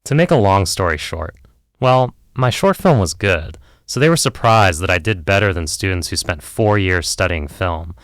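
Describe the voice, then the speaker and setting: A man acts out a self-doubting filmmaker on the therapy couch in an audio play. His voice sits low but rises and falls in lively sweeps. He is candid and wry, rambling and hedging as he goes, in a dry, close recording. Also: normal speed, no echo. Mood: self-conscious, reflective. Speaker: therapy client. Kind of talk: conversational audio play acting.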